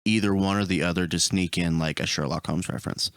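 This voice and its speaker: A man's voice: low voice, steady tone, quick pace, dry recording, clean studio sound. A man taking part in a casual podcast discussion about movies. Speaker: podcast panelist.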